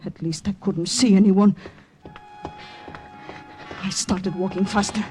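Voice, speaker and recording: An actress playing a tense young woman spy, dramatic and deliberate, in old-time radio drama style. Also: mid-range voice, fairly even intonation, darkish tone, a little hiss or hum, lightly acted. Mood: grave, suspenseful. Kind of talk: 1940s-50s radio drama acting and first-person narration.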